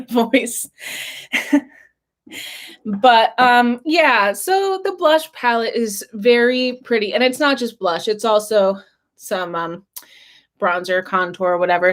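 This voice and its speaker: A woman chatting casually in a makeup review vlog, her voice husky and a little hoarse as if she is losing it, often clearing her throat between fillers. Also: medium pitch, takes it slowly, pauses often. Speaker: beauty vlogger.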